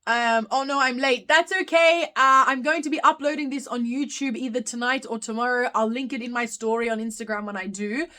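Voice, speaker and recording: Bright-voiced Australian woman, chatty. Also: on the high side, brisk, few pauses. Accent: Australian with slight British touches. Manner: chatty, rambling, frequent ums and self-corrections. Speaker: live stream host. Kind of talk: casual live Q&A chat.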